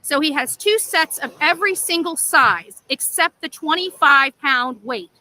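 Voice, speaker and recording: A woman with a high, piercingly bright voice talks casually on a livestream. She rambles as she explains, often checking in with listeners, over faint ambient noise. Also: animated, a little slow, poor audio. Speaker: livestream amateur sleuth.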